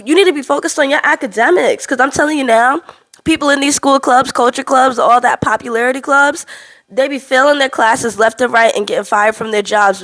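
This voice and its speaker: A woman in her twenties with a slightly stuffy voice from a cold, telling a personal story to camera in a candid, animated way. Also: a little high. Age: 20s. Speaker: vlogger.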